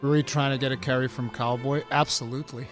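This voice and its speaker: A man's voice: mid-range voice, little pitch movement, quick pace, soft background music. A man in his forties chatting casually on a game stream, with a teasing, playful manner and frequent exclamations and swearing. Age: 40s. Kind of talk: casual chat, banter.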